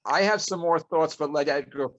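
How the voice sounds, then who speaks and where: A man's voice: fairly high pitch, normal speed, fairly bright tone, no room sound, lo-fi sound. A man giving reflective, conversational comments during a group video call. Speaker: participant commenting at an online club meeting.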